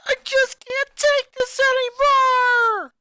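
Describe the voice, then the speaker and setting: A hurt, furious eleven-year-old boy yelling out his pain, played by the narrator of a storybook reading, his raw voice close to cracking. it is pitched well above the reader's own, bright and tense. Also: little pitch movement, slow pace, no room sound, exaggerated voice. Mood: breaking scream. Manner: shouting, raw and breaking with rage.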